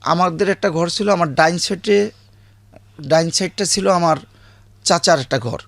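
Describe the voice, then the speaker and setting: A man with a rural Bangladeshi accent, a guest recounting childhood memories in a halting way, often correcting himself. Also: fairly high pitch, gravelly, no echo.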